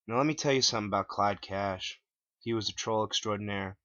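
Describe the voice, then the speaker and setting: Bright-voiced man narrating a story. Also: lowish voice, a little fast, no echo. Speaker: author reading own story. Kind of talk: dramatic first-person story narration. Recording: dull lo-fi dry home recording.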